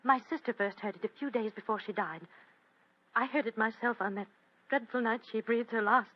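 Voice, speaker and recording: A woman's voice: fairly high pitch, a little fast, lightly acted. An actress playing an anxious, well-bred young English woman, earnest and theatrical, in a radio drama. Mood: fearful. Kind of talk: vintage radio drama acting. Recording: dull, lo-fi radio recording.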